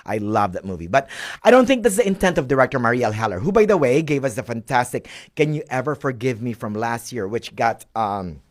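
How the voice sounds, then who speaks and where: A man giving movie reviews on a talk show, animated and playful. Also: mid-range voice, a little fast. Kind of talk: radio movie review chat.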